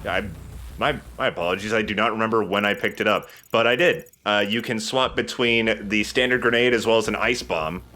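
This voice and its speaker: A man's voice: fairly low pitch, a little fast, sharp tone, a little hiss or hum. A man giving casual gaming commentary as a let's play host, explaining things in a self-deprecating way.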